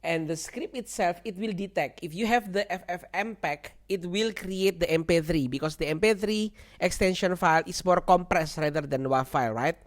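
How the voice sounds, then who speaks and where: A man who speaks English as a second language presents an informal software walkthrough, repeating himself and often checking in with the listener. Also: high-pitched, normal speed, hardly any pauses. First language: not English. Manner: repetitive, seeks agreement with "okay" and "right". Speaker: tech tutorial presenter.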